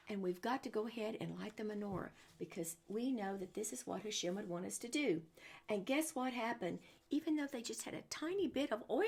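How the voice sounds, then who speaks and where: A woman reading a picture book aloud to children, adding exclamations and chatty questions to her young listeners as she goes. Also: medium pitch. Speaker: storyteller and religious teacher for children.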